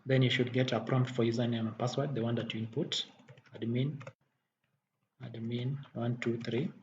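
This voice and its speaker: A man giving a software tutorial as a technical instructor, halting and often rephrasing as he explains. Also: mid-range voice, little pitch movement. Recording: dull muffled audio, faint background noise.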